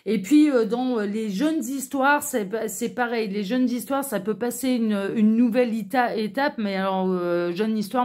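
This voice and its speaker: A woman's voice: mid-range voice, a little mellow. A woman hosting an online tarot reading, talking casually to viewers in a chatty, informal, hesitant way full of asides. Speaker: online tarot reader and channel host.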